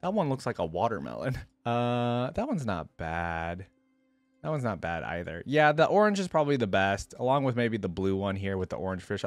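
A young man hosts a casual reaction video in a chatty, opinionated way. His pitch moves up and down freely in an animated delivery. The sound is dry and close, as from a desk microphone. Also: dry recording. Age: young adult. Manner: chatty, opinionated, thinks aloud. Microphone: close desktop microphone, headset on.

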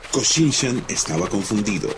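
A man narrating a children's animated folk tale as a storyteller. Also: on the low side, moderate pace, noisy background. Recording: music under the voice.

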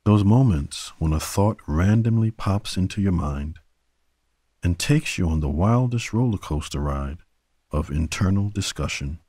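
A man guiding a sleep meditation in a gentle, soothing manner, leaving long pauses between short phrases. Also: low voice, expressive, husky, no room sound, clean studio sound. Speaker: meditation guide. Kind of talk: guided sleep meditation narration.

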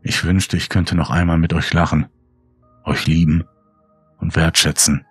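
A man reading a horror story as scripted dramatic audiobook narration, grave, measured and brooding. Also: extremely low pitch, little pitch movement, slightly rough, no room sound. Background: faint music.

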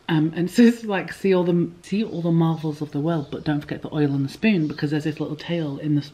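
A young woman chatting to a handheld camera in a room, rambling and lively as she talks. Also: deep voice. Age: young adult. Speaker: vlogger. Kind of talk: casual chat to camera. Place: indoor room with bookshelves at a retreat. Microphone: handheld camera at arm's length.

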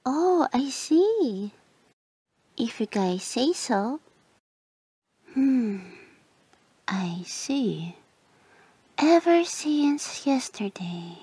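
A woman voicing an obsessive, sweetly menacing yandere childhood friend in an ASMR roleplay, drawn-out and teasing, slipping into breathy whispers. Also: high voice, very animated, mellow, no echo, exaggerated voice.

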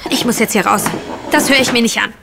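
A woman's voice: on the high side, fast talker, creaky, noisy background. An actress playing a young housemaid in a radio play, simple, guileless and emotional in her earnestness. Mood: indignant. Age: young adult. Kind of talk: German radio play acting.

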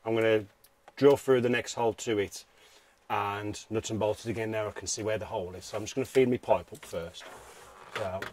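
A man's voice: fairly low pitch, steady tone, brisk, a little mellow, a little hiss or hum. A British man giving a casual hands-on how-to commentary, informally thinking aloud as he works. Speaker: DIY vehicle vlogger.

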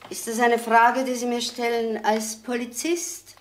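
An actress playing a brittle, evasive and stubborn wife under suspicion in a crime drama, hesitant and repeating herself. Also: female voice, fairly high pitch, little pitch movement, unhurried, background noise, close to an everyday voice. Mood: guarded, bitter. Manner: hesitant, repetitive, insistent. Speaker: actress as murder suspect.

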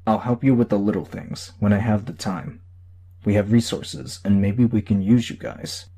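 A man's voice: lowish voice, steady tone, normal speed, dark tone, slightly rough. A man voicing a secretive, matter-of-fact superhero in an audiobook. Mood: dismissive.

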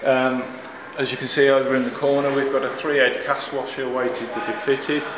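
A middle-aged head brewer giving a walking tour in plain, unhurried speech with fillers, filmed on a camera held close by. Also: male voice, medium pitch, level tone, crowd noise. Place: large echoey brewery building, indoors. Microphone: handheld camera close by, following him. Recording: echoey rooms with air-conditioning hum. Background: air-conditioning hum.

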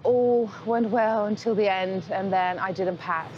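A woman in her thirties, an airline pilot, speaking haltingly in a candid interview. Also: medium pitch, dull audio, noisy background. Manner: hesitant, halting, with restarts. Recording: interview with music behind.

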